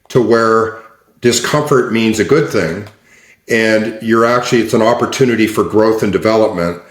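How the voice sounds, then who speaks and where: A man coaching as a guest, explaining things in a measured, patient way and repeating phrases. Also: on the low side. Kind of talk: explaining in an interview. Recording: echoey room.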